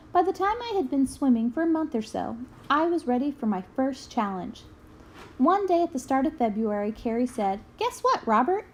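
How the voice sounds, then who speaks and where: A woman reading a children's memoir aloud in an expressive storytelling manner. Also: high voice, a little hiss or hum, low-quality recording. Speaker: book reader.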